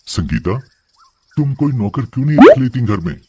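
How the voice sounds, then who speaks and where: A man's voice: medium pitch, very animated, normal speed, mellow, husky, no room sound. A man playing an irritable, hard-working son and husband in a moral story cartoon, with a complaining, reproachful manner. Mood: matter-of-fact.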